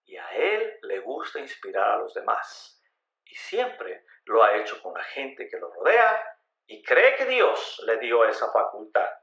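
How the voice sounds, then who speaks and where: A man delivers dramatic scripted radio narration with theatrical emphasis. His pitch moves in lively swings, and his tone is bright and forceful. The voice carries a clear roomy echo. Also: a little slow, highs cut off.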